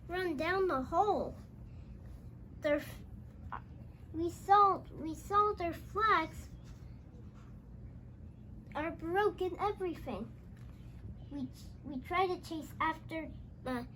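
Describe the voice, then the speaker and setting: A young child with a high voice tells a storybook tale at a slow, halting pace. Phrases get repeated and restarted, and the dialogue is called out. There is faint noise behind the voice. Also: fairly bright tone. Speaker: young host reading a storybook aloud.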